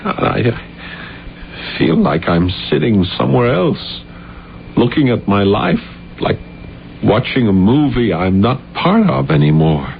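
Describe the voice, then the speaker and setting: A man's voice: medium pitch, very animated, slow, gravelly, slight background noise, full character voice. A man acting in a radio drama as an anxious, guilt-ridden playwright who stammers and repeats his words. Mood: remorseful, bleak. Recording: dull vintage radio recording with music.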